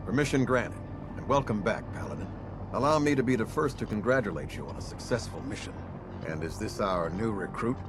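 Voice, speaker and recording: Man acting stern, low-voiced military officer, noisy rumble. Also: brisk, natural voice. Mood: formal. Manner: clipped, formal, disdainful. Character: stern, condescending male military airship captain. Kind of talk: video game dialogue.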